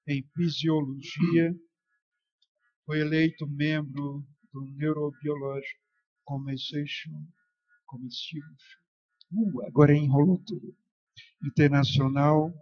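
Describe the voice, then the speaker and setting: A man with a Brazilian accent moderating a session, haltingly reading out a formal introduction. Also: fairly high pitch, no echo, highs cut off.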